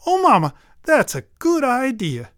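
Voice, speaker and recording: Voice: wildly swooping pitch, unhurried, fairly bright tone, no room sound, clean studio sound, full character voice. A storyteller putting on fretful silly young woman Elsa. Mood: giggly. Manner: high wailing worries.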